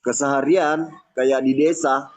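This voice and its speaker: A man's voice: mid-range voice, fairly even intonation, unhurried, darkish tone, no echo. A man explaining things in casual conversation. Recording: dull, dry lo-fi audio.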